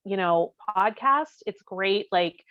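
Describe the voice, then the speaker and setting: A woman's voice: medium pitch, lively intonation, slow pace, no room sound. A woman co-hosting a podcast in casual conversation. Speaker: podcast co-host. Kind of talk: casual chat. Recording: slightly dull, lo-fi sound.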